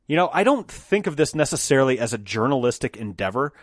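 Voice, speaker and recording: Man, podcast host, solo unfiltered rant, swears a lot, mid-pitched voice, dry close sound. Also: fast talker, dry recording. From Colorado, United States. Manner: profane, animated, acts out mock quotes. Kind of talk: unscripted solo monologue.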